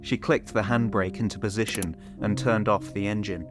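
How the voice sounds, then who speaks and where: A man's voice: on the low side, steady tone, moderate pace, over music. A man reading scripted audio fiction as the story's narrator.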